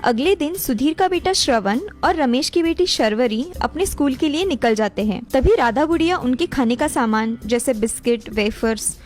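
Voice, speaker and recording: A woman's voice: high voice, animated, moderate pace, few pauses, a little mellow. A woman narrator reading a scripted moral story for a cartoon. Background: music.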